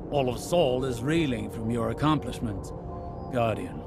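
A man's voice: mid-range voice, natural voice. An actor voicing a grave, formal male scholar-commander in a video game, giving orders in a composed way.

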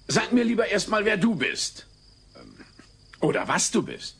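Baffled, courteous man, expressive pitch. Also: a little slow, gravelly, breathy edge, full character voice. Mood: startled, wary. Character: baffled, drawling, polite male Elvis-lookalike collector. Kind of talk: dubbed sitcom audio play. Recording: noisy old cassette transfer.

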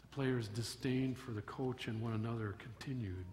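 Older male pastor, mid-pitched, measured sermon storytelling, pulpit mic in an echoing sanctuary. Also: fairly even intonation, a little mellow. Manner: measured storytelling delivery with emphatic rises. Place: church sanctuary at a wooden pulpit. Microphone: pulpit microphone. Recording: long church reverb, faint room noise.

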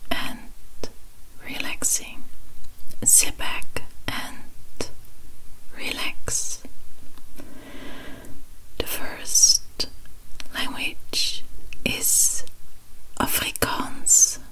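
A woman whispering softly for an ASMR video, saying each word twice in a slow, calm way. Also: low-quality recording. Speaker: ASMR creator.

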